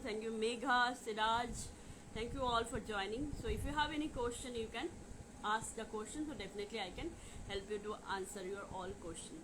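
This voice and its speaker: Casting: woman with Indian accent, slightly high voice, live-streaming coach, halting and repetitive informal talk, faint noise behind. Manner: repetitive, halting with frequent "you know". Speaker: life coach hosting a live question session. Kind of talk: informal livestream talk to viewers.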